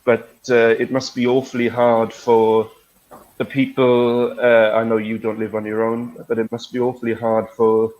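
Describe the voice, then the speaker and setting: A man's voice: medium pitch, monotone, normal speed, a little mellow, dull audio. A middle-aged man hosting a conversational online English lesson, phrasing things hesitantly with tag questions. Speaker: English teacher, webinar host.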